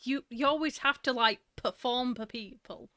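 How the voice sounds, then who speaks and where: A woman's voice: on the high side, moderate pace, sharp tone, dry recording, studio quality. A woman chatting casually as co-host, teasing and laughing often. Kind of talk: casual chat.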